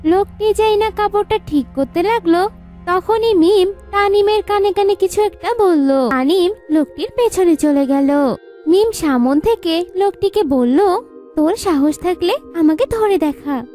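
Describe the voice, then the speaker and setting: A woman's voice: very high-pitched, measured pace, warm tone. A woman narrating a scripted cartoon story as its storyteller. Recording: music behind the voice.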